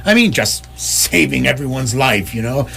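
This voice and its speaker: A woman's voice: a bass voice, animated, normal speed, vocal fry, a bit bright, a little hiss or hum, heavily acted. A woman playing an anxious, modest Earthman in radio theatre, fretful, hesitant and rambling. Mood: flustered modesty. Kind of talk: staged radio theatre acting.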